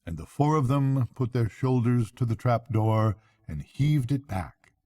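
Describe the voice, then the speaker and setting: An older man reading a bedtime story as a grandfather would, in expressive storybook narration. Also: mid-range voice, a little slow, no echo.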